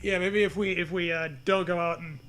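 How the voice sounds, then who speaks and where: A man's voice: high voice, flat delivery, a little fast, fairly bright tone, a little hiss or hum. A man reading a comic storybook aloud, with casual banter mixed in. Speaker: reader of a comic choose-your-own-adventure book.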